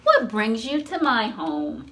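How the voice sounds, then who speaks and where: A woman voicing a kind, generous home cook in a picture book, with a slow, savouring delivery. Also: a little high, very animated, a bit bright, heavily acted. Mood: welcoming.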